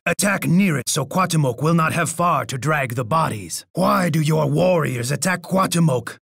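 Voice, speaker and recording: A gravelly, husky Aztec emperor from a strategy video game. He is boastful and bloodthirsty, speaking with theatrical, imperious scorn. His voice sits a bit high for a man and is recorded close and dry. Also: animated, moderate pace, fairly bright tone, dry recording, exaggerated voice. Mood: taunting menace. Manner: imperious, taunting, refers to himself in third person.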